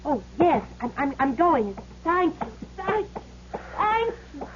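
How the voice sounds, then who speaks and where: An actress playing a suspicious, demanding widow in a 1970s radio drama, haughty and easily flustered. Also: female voice, high voice, sing-song, slow, heavily acted. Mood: flustered, grateful. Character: demanding, suspicious wealthy widow consulting a medium. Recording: muffled, noisy old radio broadcast.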